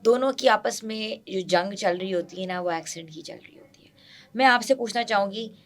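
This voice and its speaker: A woman's voice: medium pitch, moderate pace. A woman hosting a podcast interview, chatty and slipping English phrases into her questions. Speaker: podcast host.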